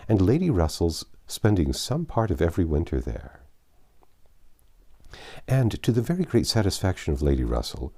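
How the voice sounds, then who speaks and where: Deep husky guy reading an old novel, formal and measured, really varied melody. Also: mellow. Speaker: audiobook narrator. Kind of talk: literary audiobook narration, reading a classic novel.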